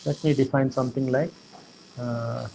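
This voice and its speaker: A man teaching programming, explaining slowly with many fillers and repeated "okay". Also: medium pitch, fairly even intonation, creaky, background noise. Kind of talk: lecture explaining code.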